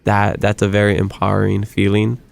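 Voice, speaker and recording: Gravelly low-voiced man hosting. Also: completely flat delivery, brisk. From Hawaii. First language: Hawaiian. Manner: earnest, frequent self-restarts and stammered repeats. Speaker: podcast host. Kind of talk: casual conversational interview.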